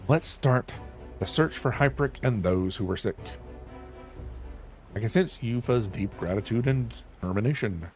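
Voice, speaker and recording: A man's voice: medium pitch, expressive, moderate pace, soft background music. A young man streaming a game, reading the dialogue aloud and chatting in a loose, self-mocking way.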